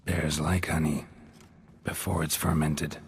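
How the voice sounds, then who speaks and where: An actor playing a gruff, laconic monster hunter in a fantasy video game, gravelly and deadpan, speaking in clipped, understated phrases. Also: male voice, extremely low pitch, measured pace, a little hiss or hum. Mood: terse, pondering. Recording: game music behind the voice.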